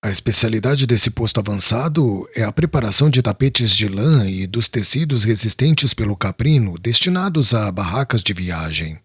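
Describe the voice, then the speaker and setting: Man reading a raspy, businesslike caravan merchant. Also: on the low side, lively intonation, normal speed, few pauses, bright, forceful tone, no room sound, clean studio sound, heavily acted. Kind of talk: audiobook character reading.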